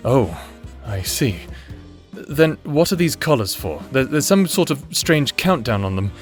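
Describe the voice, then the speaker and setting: A man's voice: medium pitch, big pitch swings, unhurried, soft background music. Man playing an earnest, guarded new prisoner. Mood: alarmed. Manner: serious, formal wording, guarded. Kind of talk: audio drama character acting.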